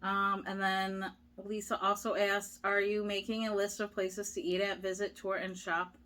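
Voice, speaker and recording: Young woman, casual vlog chat, middle pitch, reads viewer questions, lots of ums. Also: moderate pace. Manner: relaxed, many fillers, reads questions aloud. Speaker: vlogger reading viewer questions.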